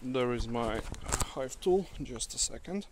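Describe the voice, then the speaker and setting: Slow-talking beekeeper guy, english not his first language, lots of uhs, outdoors by hives with bees buzzing around. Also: mid-range voice, animated, noisy background. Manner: halting, many pauses and fillers. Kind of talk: hands-on demonstration. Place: outdoors by beehives in a grassy field. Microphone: handheld camera mic.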